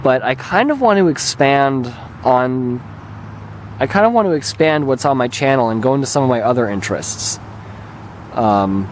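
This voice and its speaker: A man with a mildly hoarse, slightly raspy voice, talking casually and hesitantly as he rambles through a vlog as its host. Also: medium pitch, a little hiss or hum. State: mildly hoarse, recovering throat. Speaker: video channel host and gamer. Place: open lakeshore beach outdoors. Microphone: handheld camera held by the speaker.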